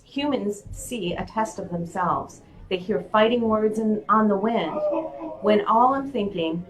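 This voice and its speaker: A woman's voice: mid-range voice, poor audio. A woman reading a children's novel aloud in the first person to students. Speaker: school community member reading to students.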